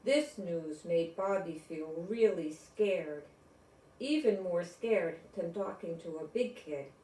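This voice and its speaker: Storybook narrator: older woman, unhurried children's reading, medium pitch, echoey room. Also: darkish tone, distant mic. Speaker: storybook reader.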